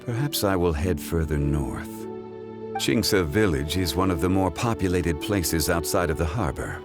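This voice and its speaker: Deep, formal, contemplative ancient gentleman. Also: music in the background. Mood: nostalgic, serene. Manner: formal, measured, eloquent, contemplative. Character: ancient, composed scholarly gentleman, calm, wise and reflective. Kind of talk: scripted video game cutscene dialogue.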